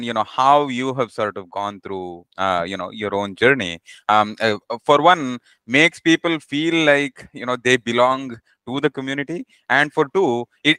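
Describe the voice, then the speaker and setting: A man hosts a conversational interview in a bright, clean voice of medium pitch. He is affirming and halting as he puts his thoughts together. The sound is dry and close. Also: clear, resonant tone, dry recording. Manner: halting, affirming, frequent backchannels. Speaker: podcast host.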